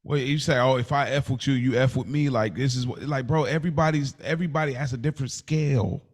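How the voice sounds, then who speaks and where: An American man co-hosting a podcast, debating casually and probing with questions, often rephrasing in a halting way. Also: mid-range voice, steady tone. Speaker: podcast co-host.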